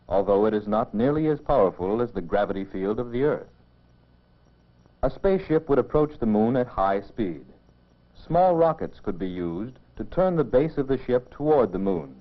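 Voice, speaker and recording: A man giving measured, explanatory scripted narration for a mid-century educational film, on a dull old film soundtrack. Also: mid-range voice, lively intonation.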